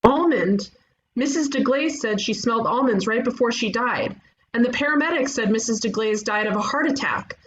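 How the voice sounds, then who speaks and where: A woman plays a brash cartoon detective with a fairly high voice. She is combative and sarcastic, delivering scripted comedy lines with confrontational confidence. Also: normal speed, dull audio, a little hiss or hum, lightly acted. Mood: accusing. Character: bold, combative sarcastic female ghost-agency detective. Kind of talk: animated comedy script table read.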